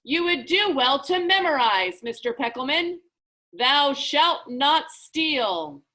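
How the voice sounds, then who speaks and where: A woman's voice: very animated, sharp tone, full character voice. A woman voicing an eccentric, grandly stern man who presides like a judge in a children's storybook. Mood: booming, stern. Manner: booming, theatrical, deliberate. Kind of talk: children's book read-aloud.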